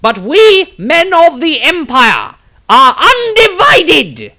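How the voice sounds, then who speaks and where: A man voicing a defiant wizard in a fantasy audiobook, shouting in a declamatory way. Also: very high voice, huge pitch swings, takes it slowly, voice pushed up, exaggerated voice. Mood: defiant, grand. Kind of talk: dramatic audiobook character reading.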